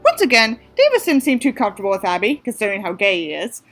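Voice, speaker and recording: A woman's voice: mid-range voice, wildly swooping pitch, quick pace, soft background music. A woman from the United States doing a mocking dramatic reading, theatrical, with sarcastic asides. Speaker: reader and commentator.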